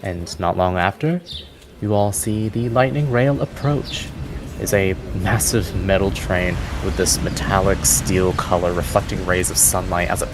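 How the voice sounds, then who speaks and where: A man's voice: deep voice, noisy background. A man acting as game master, narrating scenes descriptively for a tabletop game. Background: background music.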